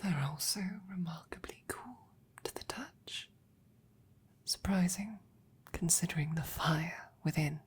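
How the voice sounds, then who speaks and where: A woman voicing a devoted, gentle female knight in an intimate ASMR roleplay, soft, breathy and tender, with hesitant pauses. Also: on the low side, slight background noise, natural voice. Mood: soothing, intimate.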